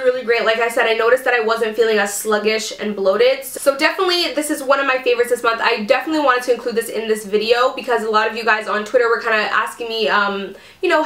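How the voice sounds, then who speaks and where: A woman's voice: fairly high pitch, fairly bright tone, reverberant. A young adult woman vlogging casually about her monthly favorites while sick, chatty and full of fillers like "um" and "like.". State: sick, ears plugged. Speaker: beauty vlogger. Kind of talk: casual chat to camera.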